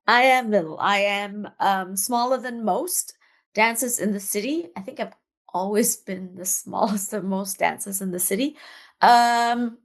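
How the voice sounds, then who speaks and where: A middle-aged woman from Singapore speaks as a guest over a remote call, in an easy, conversational way. She laughs often and restarts her sentences as she talks. Her pitch sits at an ordinary middle height for a woman. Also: unhurried. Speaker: guest, dance artist. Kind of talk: casual interview chat.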